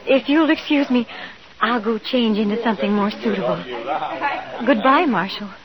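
An actress playing a polite, anxious frontier wife in a 1950s radio western, genteel and earnest. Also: female voice, on the high side, normal speed, crowd noise, natural voice. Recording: dull, crackly vintage radio recording.

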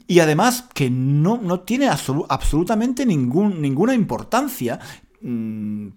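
A man from Spain with a Spanish accent, teaching learners of Spanish in a relaxed spoken lesson, repeating key points and using fillers. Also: medium pitch.

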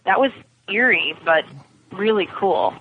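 A woman's voice: medium pitch, animated, measured pace. Lady guest chatting on a podcast, phoned in, thin tinny sound with some noise. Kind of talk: casual chat about TV science fiction. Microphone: phone or call connection.

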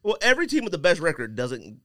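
Male podcast co-host, fairly high animated voice, emphatic slangy banter, repeats his points. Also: fast, dry recording, clean studio sound. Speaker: sports and sneaker podcast co-host. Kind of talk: casual banter and sports debate. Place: podcast studio.

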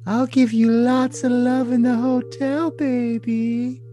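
A man's voice: very high-pitched, flat delivery, slow, warm tone, faint music behind. A man playing a clingy, anxious, lovestruck young woman in an overly dramatic comic reading of texts, with a sugary, melodramatic delivery. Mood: affectionate. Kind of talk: overly dramatic comedic reading of text messages.

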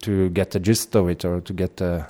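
A man's voice: sits low, fairly even intonation, moderate pace, dark tone. A man hosting a podcast, speaking English with a Norwegian accent in a playful, teasing way. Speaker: podcast host. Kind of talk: casual chat. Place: chocolate factory.

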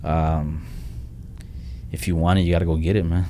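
A man's voice: low-pitched, flat delivery, normal speed, mellow, a little hiss or hum. A young man talking as a real estate investor guest in a casual interview, blunt and plain-spoken, often restarting his sentences and dropping in "like" and "man.". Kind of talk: casual podcast interview talk.

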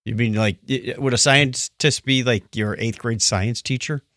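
A man co-hosting a casual podcast, reading listener comments aloud with a jokey, animated manner. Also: mid-range voice, moderate pace, no room sound, clean studio sound. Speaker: podcast co-host.

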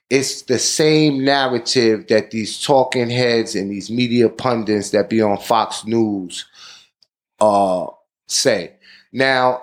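Male podcast host, slow pace, deliberate, preaching tone. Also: medium pitch. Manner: deliberate, preaching tone, frequent "you know". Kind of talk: podcast commentary.

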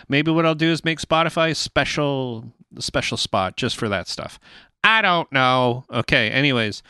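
A man's voice: mid-range voice, sharp tone, smooth, dry recording, studio quality. A man hosting a podcast, talking in a loose, chatty, rambling way as he introduces a guest and asks questions. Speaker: podcast host.

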